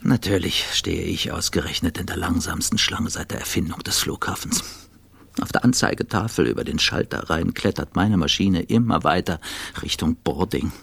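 An actor playing a jaded, grumbling traveller in his forties in a radio drama monologue, gravelly, dry and sardonic. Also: low voice, normal speed, a bit bright, no room sound, exaggerated voice. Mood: jaded, annoyed. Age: 40s. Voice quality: husky, gravelly. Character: jaded, grumbling 48-year-old male air traveller.

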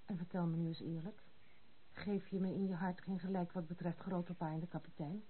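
A woman's voice: lowish voice, steady tone, brisk, natural voice. An actress playing a proud, blunt, tomboyish young noblewoman in a Dutch radio play, frank and self-assured. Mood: frank, challenging. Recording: tinny, band-limited, noisy old radio recording.

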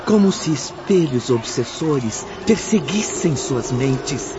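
A man narrating a radio drama in a grave, measured tone. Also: mid-range voice, lively intonation, background noise. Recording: dull lo-fi with music.

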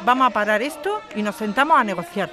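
An actress playing an indignant activist lawyer and daughter in an audio drama, challenging her opponent with forceful questions. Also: mid-range voice, expressive, quick pace, music in the background, a crowd in the background, natural voice. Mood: indignant, defiant. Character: combative activist lawyer daughter defending evicted neighbours.

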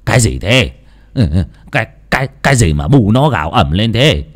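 A man doing a gruff old village husband. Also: fairly low pitch, big pitch swings, moderate pace, no echo, studio quality. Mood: startled. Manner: blunt, scolding. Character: gruff skeptical elderly rural husband.

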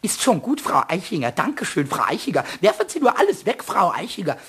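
A man's voice: high voice, very animated, fairly quick, fairly bright tone, husky, heavily acted. A man voicing a hot-tempered, childish little male kobold in a German children's radio play, squawky, piercing, whining and ranting. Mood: mocking, shrieking. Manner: whining, ranting, repeating words.

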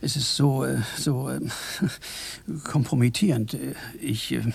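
A stammering neurotic filmmaker. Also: male voice, medium pitch, fairly even intonation, slow pace, vocal fry, a little hiss or hum. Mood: confessional. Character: neurotic stammering male filmmaker, anxious and fretful. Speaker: comedian character in a radio play. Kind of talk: 1980s German radio drama acting. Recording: music behind, digitized cassette tape.

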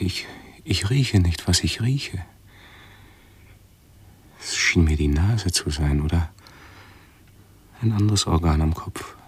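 An actor playing an anxious, pedantic, self-absorbed male hypochondriac in a radio play, with a husky, breathy voice and halting, formal phrasing. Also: sits low, heavily acted. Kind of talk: 1970s German radio play acting.

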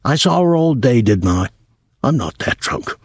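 A man's voice: medium pitch, big pitch swings, moderate pace, husky, dry recording, studio quality, pitched above the performer's own voice. A man voicing a drunken, insistent sailor with thick, slurred speech in an audiobook story. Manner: rambling, defensive drunken insistence.

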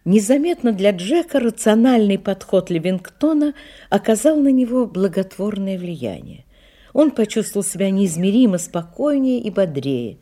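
A woman's voice: medium pitch, sing-song, moderate pace, warm tone. A woman reading scripted literary narration as the narrator of an audio drama.

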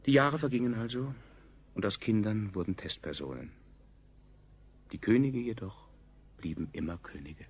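An actor playing a grave chronicler, narrating a radio play in a measured, faintly ironic way. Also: male voice, fairly low pitch, expressive, slightly rough. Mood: sober recounting. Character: grave male chronicler, a survivor recalling the state.

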